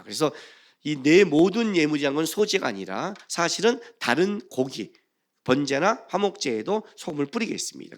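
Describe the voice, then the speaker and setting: Animated male preacher, widely swinging pitch. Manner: emphatic, questioning the congregation, swinging between loud stress and hushed phrases. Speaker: pastor. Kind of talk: sermon with scripture reading.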